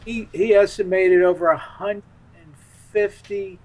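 Higher-pitched, halting man interviewed. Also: fairly even intonation. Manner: halting, frequent repeats and hesitations. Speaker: interview guest. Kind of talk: casual reminiscing interview talk.

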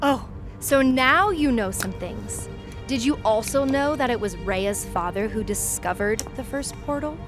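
An actress playing a haughty, cruel royal villainess in a sci-fi audio drama, pompous and deliberate. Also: female voice, on the high side, animated, over music. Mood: smug menace.

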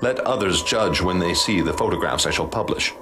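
An actor playing a haunted male professor, speaking in a formal, measured, ominous way in a radio drama. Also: low-pitched, lively intonation. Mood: ominous, troubled. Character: grave haunted male professor recounting horrors he witnessed. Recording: clear background noise and ambience.

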